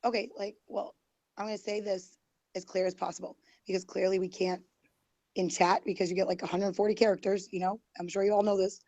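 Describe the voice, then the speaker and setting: A woman with a mellow, dark tone, her voice worn and fading. She rambles defensively, full of "like" and "um" and restarts. The sound is dry and close. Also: medium pitch, level tone, brisk, no echo. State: losing her voice. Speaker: guest. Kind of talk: heated online group call.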